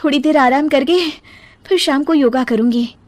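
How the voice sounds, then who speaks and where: A woman voicing a fussy, fitness-obsessed young wife with a chirpy, slightly ditzy manner and a high voice. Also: normal speed, no room sound, natural voice.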